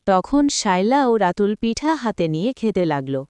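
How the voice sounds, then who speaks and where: A woman's voice: medium pitch, very animated, a little slow, darkish tone, clean tone, no room sound. A woman narrating a scripted children's cartoon story.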